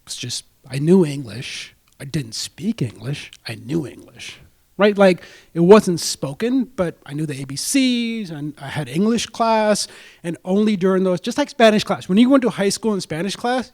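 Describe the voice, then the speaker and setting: A man's voice: sits high, expressive, normal speed, darkish tone. A man from India, giving a casual talk to a club audience as a guest speaker and dropping in frequent "right?" asides.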